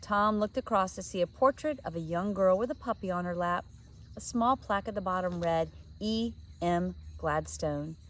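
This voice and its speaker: A woman narrating a children's story aloud in an expressive read-aloud style, like a teacher. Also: medium pitch, brisk.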